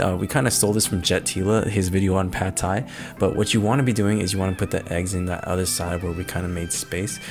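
Fast-talking low-voiced man, cooking voice-over. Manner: relaxed, chatty, self-deprecating asides. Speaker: cooking channel host. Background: guitar music.

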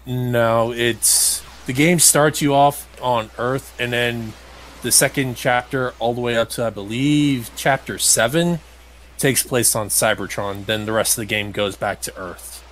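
A man with an average-pitched voice commentates casually as he plays a game. His manner is blunt and a little grumbly, in loose conversational phrases. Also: measured pace, a bit bright, a little hiss or hum, poor audio. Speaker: gamer playing and commentating. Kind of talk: casual gameplay commentary chat.

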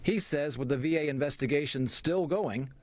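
Higher-voiced American man reporting the news. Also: moderate pace, no room sound. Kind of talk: scripted news narration.

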